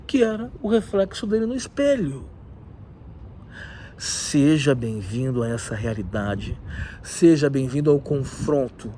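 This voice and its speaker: A man with a cold, talking in an animated, opinionated way with many asides in a casual vlog, recorded in a rooftop leisure area. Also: on the high side, slight background noise. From southern Brazil. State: has a cold. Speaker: video host.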